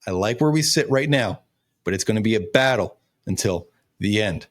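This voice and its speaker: A man's voice: fairly low pitch, expressive, normal speed. Man in his thirties with a cracking voice. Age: 30s. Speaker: sports show host and recruiting analyst. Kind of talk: college football recruiting commentary.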